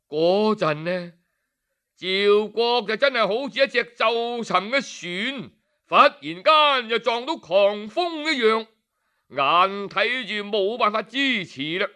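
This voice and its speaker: A man's voice: bright, forceful tone, clean tone. A man with a Cantonese accent telling a historical tale in traditional storytelling style, dramatic and sighing between phrases. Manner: dramatic, sighing, shifts pitch for quoted figures.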